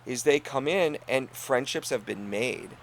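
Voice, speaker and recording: A middle-aged man with a gravelly, creaky edge to his voice talks earnestly about what matters to him. He laughs easily and lets his sentences fade out quietly. A faint hum of room ambience sits behind him. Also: medium pitch, a little slow. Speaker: inn co-owner. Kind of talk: casual conversation.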